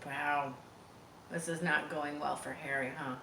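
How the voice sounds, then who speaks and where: A woman with a fairly low voice reads a children's book expressively, stopping to explain things in a chatty way. She sounds a little far from the mic in an echoey room, with faint noise behind her. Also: vocal fry. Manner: expressive, pauses to explain to young listeners. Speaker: storybook reader. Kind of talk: children's read-aloud with chatty asides. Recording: roomy, distant mic, faint background noise.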